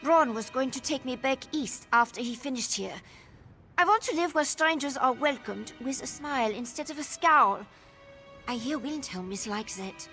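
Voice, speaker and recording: A woman's voice: high voice, a bit bright, full character voice. A woman playing a wary, downtrodden young Nord informant in a video game, telling things in a guarded, world-weary way. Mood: weary, hopeful. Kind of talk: video game dialogue acting.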